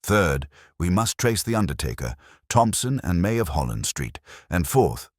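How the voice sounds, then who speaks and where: A man performs a commanding Victorian detective in an audiobook mystery. The voice is extremely deep yet moves expressively, recorded close and dry. The phrasing is deliberate, exact and coolly analytical. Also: big pitch swings, no room sound, full character voice. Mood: incisive. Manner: precise, deliberate, deductive.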